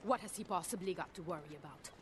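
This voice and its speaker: A woman's voice: lowish voice, fast, a bit bright, slight background noise, natural voice. A woman playing a menacing, mocking cartel boss in a video game cutscene.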